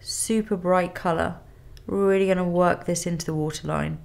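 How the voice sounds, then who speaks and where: A woman in her thirties with a cold and a nasal voice, calmly talking through a makeup tutorial step by step in a studio. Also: fairly low pitch, normal speed, gravelly. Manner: calm step-by-step instruction while applying makeup. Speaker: makeup artist. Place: indoor studio with plain grey backdrop.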